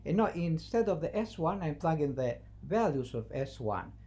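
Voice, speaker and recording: A non-native English speaker teaching math, thinking aloud haltingly and repeating phrases while writing out the steps. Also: medium pitch, big pitch swings, mellow. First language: not English. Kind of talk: math lesson, working through a problem at the board. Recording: noisy, with a constant hum.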